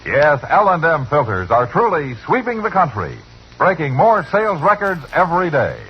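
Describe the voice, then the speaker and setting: A man's voice: fairly high pitch, very animated, normal speed. A man reading a 1950s radio commercial with a punchy sales delivery. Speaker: commercial announcer. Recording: muffled vintage radio, faint noise.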